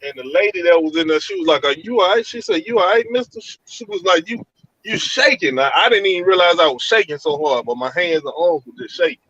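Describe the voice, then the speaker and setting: A man from Georgia telling of a frightening night encounter over a call from his van, in animated, colloquial speech. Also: high-pitched, quick pace, lo-fi sound. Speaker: guest telling an encounter story. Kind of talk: casual storytelling over a call.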